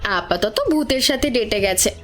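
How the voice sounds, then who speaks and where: A woman's voice: mid-range voice, lively intonation, moderate pace, faint music behind, close to an everyday voice. A woman playing a playful, cheeky young lover in a romantic audio story. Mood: teasing.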